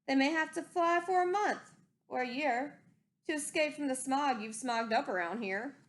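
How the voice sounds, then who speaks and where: Woman acting old forest creature, storybook, high-pitched, indignant, emphatic, scolding rhymes. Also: moderate pace, natural voice. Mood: pleading, scolding.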